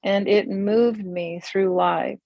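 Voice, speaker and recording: An older woman and community elder, speaking reflectively on a video call from a room that looks onto a forest, with many pauses and restarts. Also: medium pitch, steady tone, gravelly, no echo, highs cut off. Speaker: interview guest, community elder.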